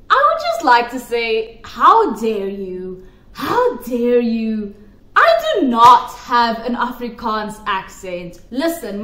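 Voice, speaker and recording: A woman's voice: fairly high pitch, a bit bright. A woman giving emphatic, opinionated commentary to camera, repeating her points for stress. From South Africa. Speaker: pageant commentary vlogger. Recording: roomy echo.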